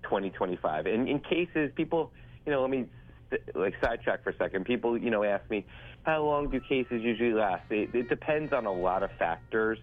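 A man's voice: medium pitch, expressive, fairly quick. A congested, stuffed-up man speaking as an attorney on a radio call-in, chatty and salesy, often repeating himself. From northeast United States. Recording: phone line.

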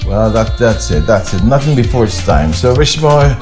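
Halting male interview host. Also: on the low side, lively intonation, darkish tone, soft background music. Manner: repeats words, halting restarts. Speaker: radio or online show host. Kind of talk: casual interview.

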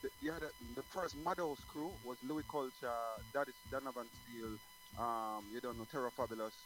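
A man's voice: mid-range voice, wildly swooping pitch, brisk, a bit bright, slight background noise, poor audio. A middle-aged man from Jamaica, a guest singer talking casually in an interview, rambling with many restarts.

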